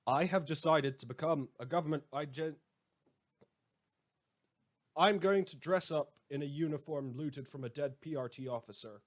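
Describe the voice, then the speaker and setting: A man acts out a theatrical late-night style radio host with a mid-pitched voice. He rambles with heavy sarcasm and mock grandeur, a self-appointed vigilante lawman addressing his listeners in an audio drama. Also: lightly acted. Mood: grandly announcing. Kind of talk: scripted fictional radio monologue.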